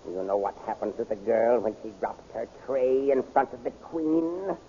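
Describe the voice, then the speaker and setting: A fussy male court wizard acted by a man with a dark, muted voice. He hems, stammers and puts on pompous airs in old radio style, over a dull recording with faint noise. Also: medium pitch, steady tone, moderate pace, lightly acted. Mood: scolding, impatient. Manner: hesitant, mumbling, stammering, pompous. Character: doddering, fussy male court magician of an evil queen. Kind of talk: 1930s radio acting.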